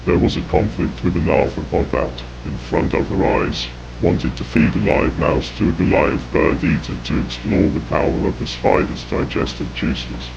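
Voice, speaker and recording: A man reading scripted horror narration in a grave, measured way, with a gravelly, whispery voice. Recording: dull, noisy lo-fi audio with rumble.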